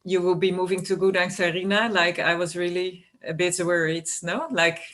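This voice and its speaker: A woman's voice: fairly low pitch, little pitch movement, moderate pace, fairly bright tone. Reflective woman chatting, hesitant. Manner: hesitant, often adds a tag "no?". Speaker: guest storyteller, cultural worker. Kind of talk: casual reflective conversation. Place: remote video call. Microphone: video call.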